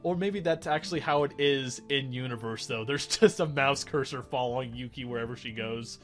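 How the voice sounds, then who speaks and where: A man's voice: mid-range voice, brisk, faint music behind. A man doing casual, rambling game commentary as a let's play host, often correcting himself as he goes.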